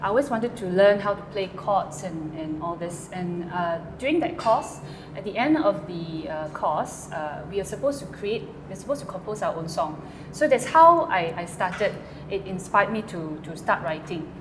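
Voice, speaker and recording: A woman's voice: lowish voice, lively intonation, normal speed, echoey room, background noise. A woman, a songwriter answering interview questions hesitantly, with many fillers and restarts. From Malaysia. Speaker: guest songwriter.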